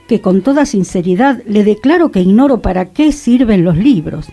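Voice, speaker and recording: A woman with a Rioplatense Spanish accent reads a literary essay in a radio studio. Her voice is mellow and soft-edged, and her pitch rises and falls widely as she leans into each rhetorical question. Music plays faintly under her. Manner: expressive, rhetorical reading. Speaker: radio host. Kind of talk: reading a literary essay aloud.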